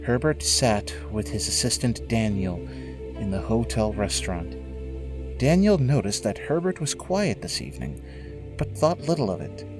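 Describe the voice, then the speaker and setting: A man narrating a scripted dramatic story in a measured, solemn way, with music behind him. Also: lowish voice, very animated. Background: prominent music.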